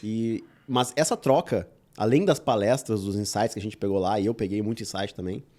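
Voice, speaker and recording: Quick-talking male podcast host. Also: lowish voice, mellow. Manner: quick, enthusiastic, addresses listeners. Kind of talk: casual podcast chat.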